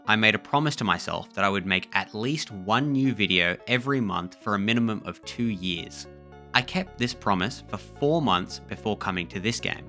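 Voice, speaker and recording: A man reading a scripted video essay voiceover in a measured, reflective way. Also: on the low side, bright, forceful tone.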